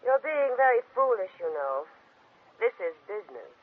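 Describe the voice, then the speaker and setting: Husky scheming ex wife, fake sweet and threatening, lilting delivery, tinny old radio. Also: female voice, mid-range voice, big pitch swings, normal speed, no echo. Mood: needling, menacing. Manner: mock-sweet, needling. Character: silky, menacing scheming ex-wife, female. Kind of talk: 1940s radio drama acting. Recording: phone-like old 1940s radio recording.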